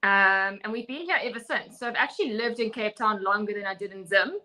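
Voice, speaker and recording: A woman's voice: medium pitch, brisk, bright, forceful tone, poor audio. A young woman from Zimbabwe, a fitness coach, speaking earnestly and firmly as she shares her personal story in an interview. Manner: earnest, firm, open.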